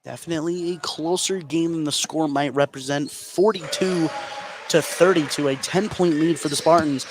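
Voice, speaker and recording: A man's voice: fairly high pitch, normal speed. An American man doing live radio basketball play-by-play as the game's commentator. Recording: noisy gym ambience behind commentary.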